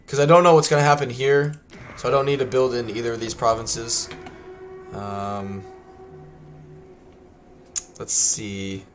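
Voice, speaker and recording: A man's voice: medium pitch, fairly even intonation, a little slow, long pauses. A young man streaming a strategy game, chatting loosely with many ums and restarts, his voice scratchy from a long day. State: scratchy tired voice. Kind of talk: casual gameplay livestream chat.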